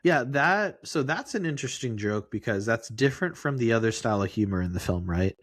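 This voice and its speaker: A man's voice: medium pitch, expressive, brisk, dry recording, studio quality. A man co-hosting a film podcast, talking casually and circling his way through his explanations.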